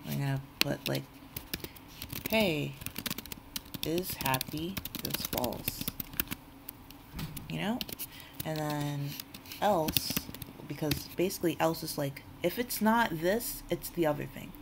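Low-voiced woman with a gravelly fry. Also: slow pace, pauses often. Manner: informal, thinks aloud while typing. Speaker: tutorial host. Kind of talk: casual spoken coding tutorial. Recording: noisy room with keyboard typing. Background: computer keyboard typing, loud background noise.

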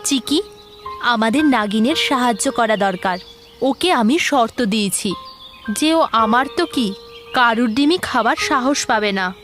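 A woman's voice: on the high side, expressive, measured pace, fairly bright tone. A woman voicing a kind but firm mother bird in a cartoon fairy tale, speaking earnestly and emphatically. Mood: earnest, pleading. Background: music.

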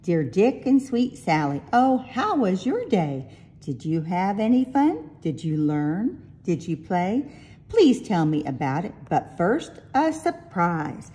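A woman voicing an affectionate, excited parent greeting children in a storybook, with drawn-out, fond delivery. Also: fairly low pitch.

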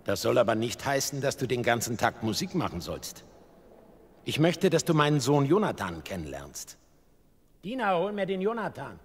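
A man's voice: medium pitch, big pitch swings, normal speed. An actor playing a fatherly biblical king in a German radio play. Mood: fatherly, commanding. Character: moody ageing biblical king, kindly to a young harpist.

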